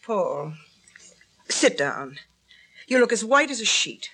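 Concerned mother, radio drama, patient, bright voice, measured pleading, placating. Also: mid-range voice, sing-song, slightly rough, heavily acted. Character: patient, reasoning, worried wife and mother.

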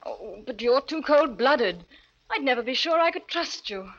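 A woman's voice: sits high, lively intonation, normal speed, a bit bright, muffled recording, full character voice. An actress playing a fierce, jealous woman in an old-time radio drama, with an intense, demanding manner. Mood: wavering. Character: fierce, jealous, agitated woman under a charming surface.

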